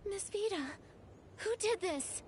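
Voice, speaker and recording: A woman playing a kind, resolute young heroine in a video game, with a gentle, sincere manner. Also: very high-pitched, takes it slowly, a little hiss or hum. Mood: concerned. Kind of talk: video game cutscene acting.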